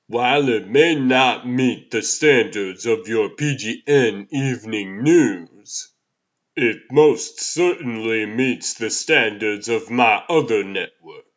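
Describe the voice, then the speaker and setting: Man playing a slow, smug media boss. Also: mid-range voice, a bit bright. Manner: condescending, measured. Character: smug, domineering male media executive. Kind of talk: comic dub voice acting. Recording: slightly dull, muffled sound.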